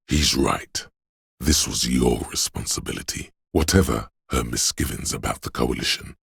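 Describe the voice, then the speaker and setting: An actor voicing a gentle, perceptive inner voice of empathy in a video game, with solemn, measured, intimate narration in a gravelly, husky voice. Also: male voice, a bass voice, no room sound, studio quality, full character voice. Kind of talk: dramatic second-person game narration.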